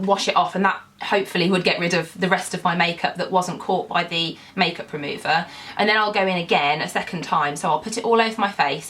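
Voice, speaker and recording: A young woman talks through her routine in a relaxed, chatty way. She sounds congested from a cold, and her talk is full of ums and little hedges. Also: mid-range voice. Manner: chatty, with frequent ums and kind ofs. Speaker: beauty vlogger. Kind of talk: casual skincare walkthrough.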